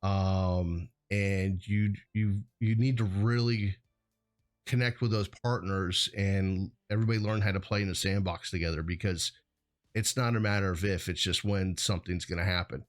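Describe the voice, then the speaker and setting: A man's voice: low voice, fairly even intonation, moderate pace. An American man hosting a show, talking in a casual, rambling, conversational way peppered with "you know". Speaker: host of a hazmat show.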